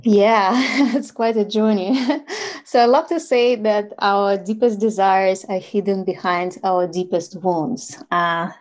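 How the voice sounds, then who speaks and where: A woman in her forties from Ukraine with a Ukrainian accent, telling her story as a podcast guest in a reflective way with soft laughs and fillers. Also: medium pitch, fairly even intonation, slow. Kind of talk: casual interview storytelling.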